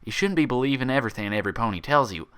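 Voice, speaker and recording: A man voicing a motherly, patronizing female pony in a story reading, speaking in a gently chiding way. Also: mid-range voice, lively intonation, very fast, smooth, voice pushed up, natural voice. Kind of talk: dramatized fan fiction reading.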